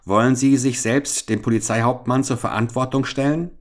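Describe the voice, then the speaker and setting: A man's voice: medium pitch, steady tone, moderate pace, pitched above the performer's own voice, lightly acted. A man voicing a stern, officious army sergeant. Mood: demanding. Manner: formal, stern, demanding. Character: stiff, officious adult male army sergeant envoy.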